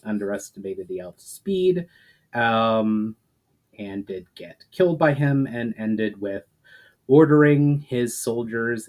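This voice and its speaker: A man with a medium-pitched voice speaks as an author presenting his own fiction. His delivery is casual and a little hesitant in conversation, and measured and dramatic when he narrates as a storyteller. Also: a little mellow, low-quality recording. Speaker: author reading own book. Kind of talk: casual chat intro, then dramatic audiobook-style narration.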